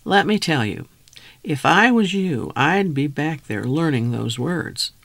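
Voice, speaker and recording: A man's voice: on the high side, expressive, takes it slowly, vocal fry, fairly bright tone, dry recording, exaggerated voice. A man voicing a fellow stage actor who speaks with a slight lisp, giving unasked advice. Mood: advising.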